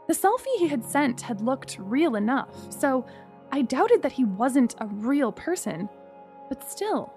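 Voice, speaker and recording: Wry young woman narrating, higher voice. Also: a little mellow. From Singapore. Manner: wry, candid storytelling. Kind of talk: first-person memoir narration.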